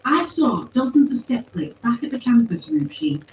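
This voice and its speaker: An earnest woman soldier as a female narrator acts her argues with high, swooping emphasis. She is insistent and indignant, a story heroine heard in an echoey room. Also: sing-song, moderate pace, darkish tone. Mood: exasperated, insistent. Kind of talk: acted audiobook dialogue. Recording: roomy, distant pickup with faint noise.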